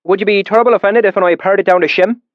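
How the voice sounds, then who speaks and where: A man's voice: high-pitched, steady tone, racing, no echo, highs cut off, pitched above the performer's own voice, close to an everyday voice. A man voicing a young man who fakes a folksy rustic dialect in an ingratiating way.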